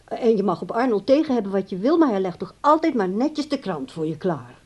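Husky, reproachful grown daughter. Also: female voice, mid-range voice, sing-song, brisk, darkish tone. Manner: reproachful, nagging. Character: reproachful dutiful grown daughter of an old man. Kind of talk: radio play acting.

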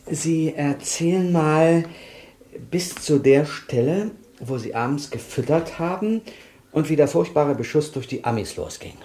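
An actor playing a courteous, brisk film director who gives instructions in naturalistic radio drama dialogue. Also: male voice, fairly high pitch, dark tone. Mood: encouraging. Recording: roomy echo, faint background noise.

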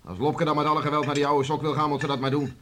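A man's voice: a little high, little pitch movement, very fast, a bit bright, natural voice. A man playing a blunt, teasing farmer father in a Dutch radio play, mock-stern and colloquial. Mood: grumbling. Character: gruff, teasing farmer father of grown children. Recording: faintly hissy old radio recording.

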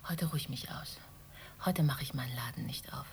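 An actress playing an anxious, chatty, apologetic middle-aged shopkeeper in a radio drama, rambling on politely and breathily. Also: female voice, a bass voice, normal speed, gravelly, a little hiss or hum.

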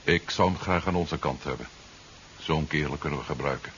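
Very deep-voiced, grave official. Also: male voice, a bit bright. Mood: respectful, regretful. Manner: measured, sober. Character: grave official, regretful former colleague of a fugitive. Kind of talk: 1970s radio drama acting. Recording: muffled, hissy old radio recording.

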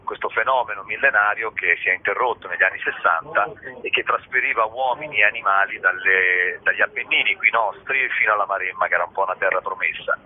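A man speaking as an interview guest, explaining at length in a discursive way and pausing to search for words. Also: on the low side. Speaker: theatre director, interview guest. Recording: narrow tinny phone line, clear background noise.

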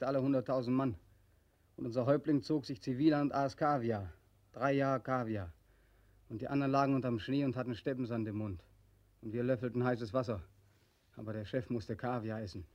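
An actor playing a tired, bitterly ironic young soldier back from war in a 1940s radio play, speaking quietly. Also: male voice, medium pitch, steady tone, fairly quick, natural voice. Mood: sarcastic. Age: young adult. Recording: dull old radio recording.

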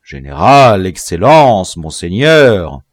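A man's voice: fairly low pitch, big pitch swings, slow, no room sound, studio quality, exaggerated voice. A man voicing an imperious rebel general in an audiobook, haughty and severe, cruelly toying with his captives. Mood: mocking, impatient. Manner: haughty, severe, impatient, emphatic.

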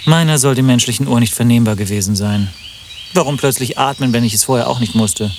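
A man playing a lovesick, wry radio-play protagonist who speaks in a deadpan, literary way. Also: mid-range voice, brisk, a little hiss or hum, close to an everyday voice. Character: lovesick, melancholy, wry man narrating his own absurd story. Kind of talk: German radio play acting and narration.

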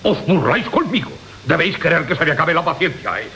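An actor with a Castilian accent playing a blustering, hot-tempered jilted suitor in a classical radio comedy, bullying and exclamatory. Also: male voice, a little high, big pitch swings, fast talker, sharp tone, heavily acted. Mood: angry, blustering. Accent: Castilian Spanish. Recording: hissy 1980 radio broadcast recording.

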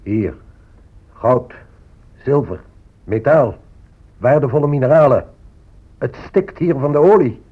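An actor playing a greedy, impatient settler negotiator with a husky voice and an insistent manner in a radio drama. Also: male voice, mid-range voice, expressive, slow pace, pauses often. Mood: insistent, persuasive. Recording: muffled, narrow-band old radio recording.

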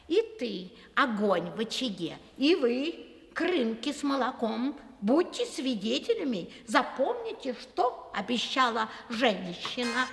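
A woman playing a sly, proud, flattering wild cat who meows between coaxing phrases in an audio fairy-tale play. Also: high voice, gravelly, heavily acted. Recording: long reverb, faint noise.

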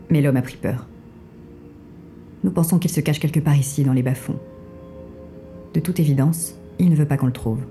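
Woman playing secret resistance agent lady, low really dark soft-edged voice, formal n cool, noir audio drama, faint music. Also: natural voice. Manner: formal, polite, composed, commanding. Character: mysterious female resistance agent guiding the inspector, coolly composed.

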